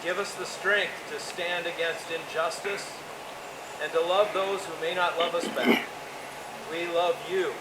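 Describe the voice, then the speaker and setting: Guy praying loud and earnest outdoors, noisy and echoey. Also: fairly high pitch, fairly even intonation, unhurried. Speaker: leads the prayer. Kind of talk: spoken prayer. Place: outdoor park.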